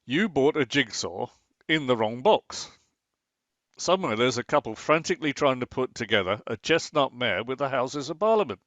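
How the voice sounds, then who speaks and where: A male actor as a grumbling yet affectionate husband in a domestic radio play. His delivery is wry and sarcastic, with very lively intonation. The sound is close and dry, a little dull in fidelity. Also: medium pitch, normal speed, no echo, lightly acted. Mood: coaxing, earnest. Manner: dry, sardonic, exasperated. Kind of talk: naturalistic radio play acting.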